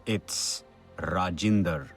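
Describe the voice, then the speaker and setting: An actor playing a gruff, sardonic resistance supply keeper in a video game, blunt and teasing with a husky voice. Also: male voice, deep voice, drawn-out words, faint music behind, full character voice. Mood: curt, prickly. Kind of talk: video game cutscene acting.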